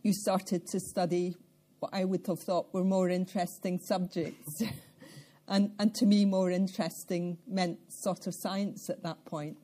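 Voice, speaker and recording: An older Scottish woman speaks in a lowish, mellow voice. She tells her story in a measured, reflective way, taking her time between thoughts. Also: flat delivery. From central Scotland. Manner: measured, reflective, with occasional soft laughs and throat clearing. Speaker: interviewee. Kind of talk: life-story interview.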